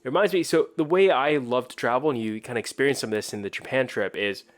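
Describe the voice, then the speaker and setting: A man from China with a medium-pitched voice talks casually on a podcast. He speaks in anecdotes and repeats key words for emphasis. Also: quick pace. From born in China. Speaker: podcast participant.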